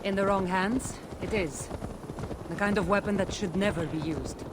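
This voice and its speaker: Actress as a wry, guarded wandering artifact hunter. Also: female voice, mid-range voice, normal speed, background noise, close to an everyday voice. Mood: solemn. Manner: wry, teasing, earnest about danger. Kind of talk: video game cutscene acting.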